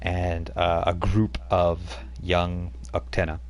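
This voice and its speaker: A man's voice: low-pitched, completely flat delivery, slow. A man narrating a tabletop game as game master, rambling with many fillers and restarts.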